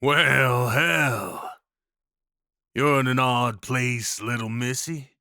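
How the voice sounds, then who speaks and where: Male actor as a courteous cowboy, very unhurried folksy drawl, reassuring, rustic slang, close dry sound, audio roleplay. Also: medium pitch, creaky, sharp tone, no echo, full character voice. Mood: courteous, curious. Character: friendly, courteous cowboy of the Old West, gallant and reassuring. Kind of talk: audio roleplay acting, addressing the listener.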